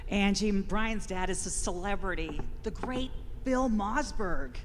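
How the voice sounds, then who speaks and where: A woman's voice: mid-range voice, unhurried, natural voice. A woman in a live radio play, playing a friendly, chatty old friend. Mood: friendly, curious. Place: large hall, live stage show. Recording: live hall reverb, faint room noise.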